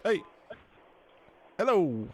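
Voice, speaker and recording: Pushy man, high lively voice. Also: extremely slow, a little hiss or hum. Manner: pushy, badgering, swears. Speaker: podcast co-host making prank cold calls. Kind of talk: loud casual banter.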